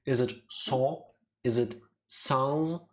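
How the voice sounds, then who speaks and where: A woman's voice: very deep voice, slow. A woman chatting casually and reading out game narration during a let's play stream.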